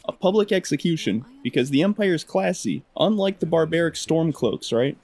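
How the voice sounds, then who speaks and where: A man's voice: fairly high pitch, expressive, moderate pace, darkish tone. A man giving casual, humorous commentary on a video game in a wry, sarcastic way. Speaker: gaming video host.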